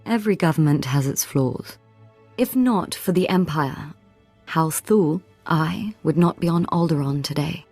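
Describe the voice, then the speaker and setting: A woman's voice: sits low, animated, a little slow, darkish tone, slightly rough, no echo, soft background music, heavily acted. A woman playing a poised young noblewoman who leads a house in a video game, speaking in a stately, formal way. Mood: welcoming, composed. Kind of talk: scripted video game dialogue.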